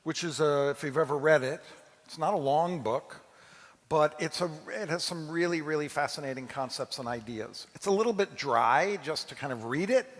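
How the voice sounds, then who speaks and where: A man speaking as a rabbi, informally introducing a dramatic reading to a congregation in a halting, conversational way. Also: a little high. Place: large reverberant hall, amplified to an audience. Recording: long hall reverb.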